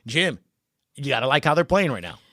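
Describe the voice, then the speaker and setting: A man's voice: mid-range voice, sing-song, a little fast, pushed and bright, dry recording, clean studio sound. A man hosting a sports podcast, talking informally and conversationally as he thinks aloud.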